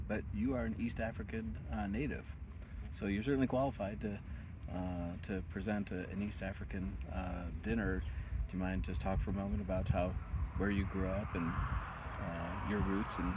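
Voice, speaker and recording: A man with a somewhat low voice hosts a casual outdoor chat. He prompts his guest in a friendly way, with an occasional uh. Loud traffic noise surrounds him. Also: steady tone, moderate pace. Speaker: farm host.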